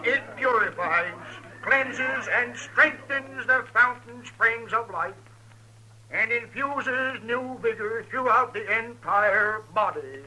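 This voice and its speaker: An actor playing a bombastic, smooth-talking quack professor with a grandiose oratorical sales pitch in a 1950s radio western. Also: male voice, slow pace, pushed and bright, exaggerated voice. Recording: noisy old radio show recording.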